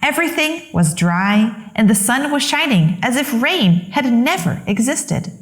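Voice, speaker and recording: A woman reading a children's story aloud as expressive narration, with deliberate, dramatic phrasing. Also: on the high side, echoey room. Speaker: children's story reader, host.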